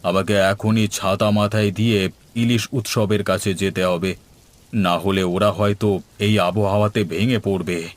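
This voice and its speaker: A man voicing a warm-hearted, eager village man in a cartoon, with an emphatic, exclamatory delivery. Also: lowish voice, steady tone, measured pace, close to an everyday voice. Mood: worried. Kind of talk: Bengali cartoon voice acting. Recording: music playing behind the voice.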